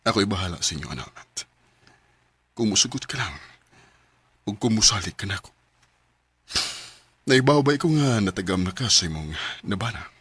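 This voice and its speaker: A man's voice: lowish voice, lively intonation, moderate pace, pauses often, fairly bright tone, slightly rough, no room sound. An actor playing an aging widowed man tenderly courting a younger woman in a Cebuano radio romance drama, with a Cebuano accent. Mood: earnest, coaxing.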